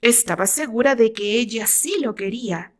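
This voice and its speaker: A woman's voice: a little high, moderate pace. A woman with a Mexican accent reading her own novel aloud as dramatic narration.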